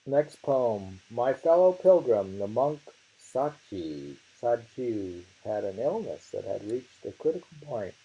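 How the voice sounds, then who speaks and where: Slow-talking man with a gravelly, creaky voice. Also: medium pitch, big pitch swings, warm tone, dull audio. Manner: unhurried, halting, repeats lines. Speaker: reader of translated poetry. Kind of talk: slow poetry reading with casual commentary. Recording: faint hiss.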